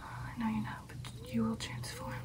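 A young woman voicing a devoted, earnest female vampire girlfriend in an intimate, breathy ASMR roleplay whisper. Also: on the high side, sing-song, distant mic. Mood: grave, tense. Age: young adult. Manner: slow, deliberate, intimate, coaxing. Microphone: close ASMR microphone.

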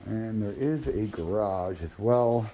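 A man with a fairly low, relaxed and mellow voice talks his way through a property tour. He is low-key and matter-of-fact, commenting off the cuff as he goes. It is recorded on a handheld phone with slight background noise. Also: slow pace. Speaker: real estate agent giving a property walkthrough. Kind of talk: casual off-the-cuff walkthrough narration. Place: walking through a condo, briefly outdoors. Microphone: handheld phone at arm's length.

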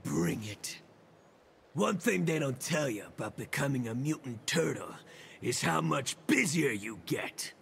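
A man voicing a big, boastful mutant turtle brawler for a video game, gravelly and forceful, with punchy, taunting delivery. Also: a little high, lively intonation, unhurried, slight background noise, heavily acted. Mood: taunting, smug. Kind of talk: video game character voice lines.

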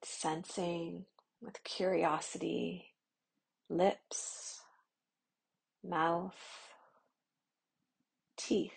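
A woman guiding a yoga nidra meditation in a slow, soft, soothing voice, with long pauses and some phrases half whispered. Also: on the low side, little pitch movement, creaky, breathy edge, poor audio. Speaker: meditation guide.

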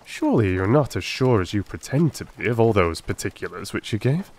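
A man playing a puzzled, earnest gentleman companion to a detective, questioning in a measured way in a dramatised audiobook. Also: fairly low pitch, very animated, pitched below the performer's own voice. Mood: puzzled, amazed. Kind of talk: dramatised Victorian audiobook dialogue.

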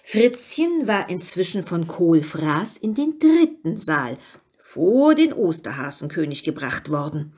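A woman reading a fairy tale as scripted narration, expressive and with dramatic emphasis. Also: fairly low pitch, measured pace, husky. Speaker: story reader.

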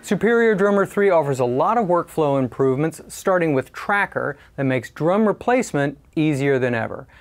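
A man in his forties giving a scripted product review in a measured, clearly articulated presenter style. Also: fairly high pitch, expressive.

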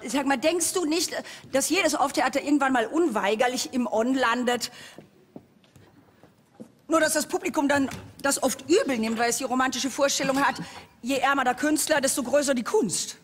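A woman's voice: high voice, moderate pace. A woman co-hosting an award show, delivering scripted banter from the stage. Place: large hall on stage. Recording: reverberant hall, audience, faint noise.

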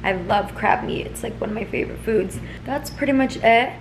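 A woman's voice: a little high, normal speed, a little hiss or hum, rough recording. A woman in her twenties chatting casually to the camera at home, rambling with lots of fillers. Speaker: vlogger. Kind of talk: casual vlog chat to camera. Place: home living room and kitchen. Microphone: camera at arm's length.

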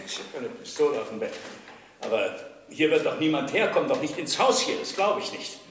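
Uneasy rich businessman, highish lively voice, genteel, old radio drama. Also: a little fast, slight background noise. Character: wealthy, uneasy businessman bachelor. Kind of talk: German crime radio drama acting. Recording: very echoey old radio play.

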